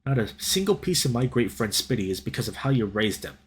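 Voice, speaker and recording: A man's voice: mid-range voice, big pitch swings, fast talker, close to an everyday voice. A man voicing a scrappy young male fox in a visual novel, fiery and loyal, speaking in an impassioned, theatrical way. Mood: righteous fury.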